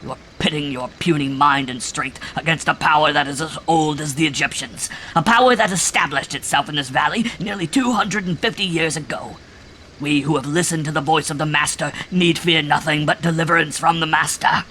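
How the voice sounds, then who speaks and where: A man voicing a heavy middle-aged farmer in a cult, a fanatic who shouts and rants in a strained, raucous voice. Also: mid-range voice, normal speed, vocal fry, a little hiss or hum, close to an everyday voice. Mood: raging. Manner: shouting fanatic, later sly and cold. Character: raving heavy male farmer cult follower.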